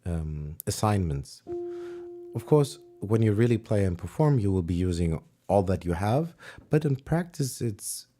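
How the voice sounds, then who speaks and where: A man whose first language is German, teaching piano on a livestream, relaxed and pausing to search for words. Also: lowish voice, slight background noise. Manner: relaxed, searching for words, sings and counts along. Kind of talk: livestream music lesson. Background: piano playing.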